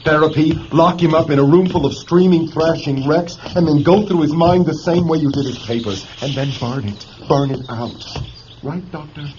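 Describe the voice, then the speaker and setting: A man's voice: a little high, normal speed, noisy background, lightly acted. An actor playing a bitter, sardonic male dissident under psychiatric care in a radio drama. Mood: bitter, mocking. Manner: sardonic, brooding. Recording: dull vintage radio recording, music behind.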